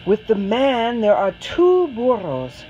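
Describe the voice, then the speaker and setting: A woman narrates a picture book for children, taking her time over each phrase. Her pitch moves in lively, dramatic swings, and there is faint noise behind her. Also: slow pace, muffled recording. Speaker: storybook reader. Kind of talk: expressive children's story read-aloud.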